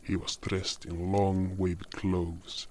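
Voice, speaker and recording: A man's voice: deep voice, level tone, takes it slowly, slight background noise. A man narrating a scripted first-person fantasy story as its storyteller and voice actor. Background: music.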